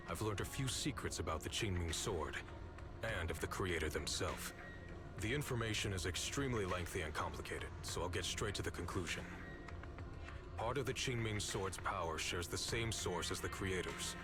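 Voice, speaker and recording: An actor playing a grave, earnest swordsman in a video game, speaking in a formal, measured, solemn way. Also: low voice, lightly acted. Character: grave, earnest older-brother swordsman with secret knowledge.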